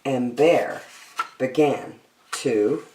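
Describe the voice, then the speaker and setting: A woman reading a children's picture book aloud with theatrical, deliberate phrasing, in a roomy, echoey recording. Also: rumbling low, mellow, far from the mic. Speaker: storytime reader.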